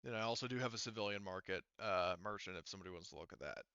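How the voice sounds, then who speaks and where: A man with a forceful, clear voice talks players through a tabletop roleplaying session as game master. He explains in a casual, sweary way. The sound is very dry and slightly dull, as from a close mic. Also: fairly low pitch, rapid-fire, no echo.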